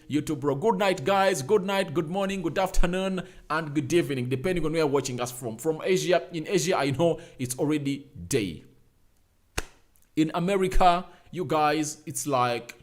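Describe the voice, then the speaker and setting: Young male streamer host, mid-pitched, chatty and repetitive, punches lines up loud when urging likes. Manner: reads out viewer names and comments, repeats phrases, urges likes; emphatic, rising to loud calls. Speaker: fan channel host. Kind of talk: casual live-stream chat.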